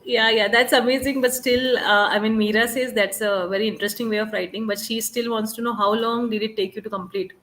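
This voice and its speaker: A woman's voice: on the high side, steady tone, a little fast, hardly any pauses, a bit bright. A woman hosting a book club chat, relaying audience questions with many fillers. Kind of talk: online book club interview.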